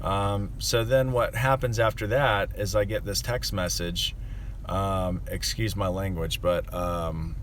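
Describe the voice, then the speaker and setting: Low-voiced man venting, rambling. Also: fairly even intonation, slow, a little hiss or hum. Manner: rambling and aggrieved, many fillers. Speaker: landscaping business owner. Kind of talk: casual monologue to camera.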